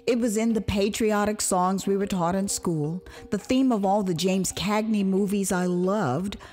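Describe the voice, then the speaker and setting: A woman from the United States narrating her own memoir as an audio drama, with expressive, theatrical delivery, acted asides and dramatic pauses. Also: medium pitch, faint music behind. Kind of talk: dramatized first-person storytelling.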